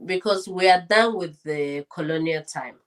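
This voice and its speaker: A woman's voice: lowish voice, normal speed. A woman from Congo whose first language is Swahili, speaking as an interview guest in an earnest, firm and reflective way. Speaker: interview guest, politician and former translator. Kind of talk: conversational interview.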